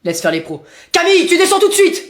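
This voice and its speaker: A woman playing a blustering, bossy father in a comedy sketch, loud and mock-heroic. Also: a little high, very animated, rapid-fire, roomy sound. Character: blustering father of a stubborn little girl.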